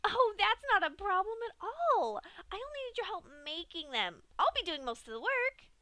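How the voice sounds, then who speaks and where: A woman's voice: very high-pitched, big pitch swings, normal speed, pushed and bright, no room sound, voice pushed up, exaggerated voice. A woman voicing a hyper, giggly young female pink pony in a fan-fiction reading, with a squealing, bouncy, gleeful manner.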